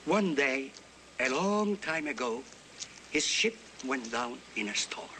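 A Greek seafaring man in a TV drama, warm and whimsical. His accented speech is expressive and widely varied in pitch, like a born storyteller's. Clear outdoor noise surrounds the voice. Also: slow pace. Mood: solemn, wistful. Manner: expressive, theatrical, storytelling delivery. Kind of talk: acted television drama dialogue. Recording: noisy outdoor waterside ambience.

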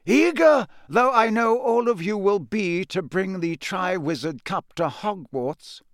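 A man playing an elderly, kindly and authoritative wizard headmaster giving a formal, measured address in a storybook reading. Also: high-pitched, lively intonation, dry recording, clean studio sound, voice pushed up. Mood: grave, authoritative.

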